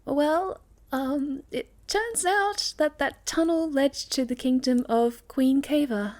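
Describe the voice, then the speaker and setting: A woman acts out a sweet young moth girl with a fairly high voice. She is shy and hesitant, telling her story softly and intimately as ASMR roleplay. Her gentle speech often sinks into a breathy near-whisper. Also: takes it slowly. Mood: hesitant storytelling.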